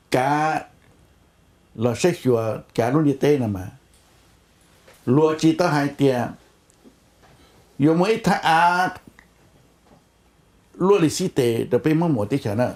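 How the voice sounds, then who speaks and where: Man with a medium-pitched voice. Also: animated, takes it slowly, long pauses, darkish tone. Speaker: conference call speaker.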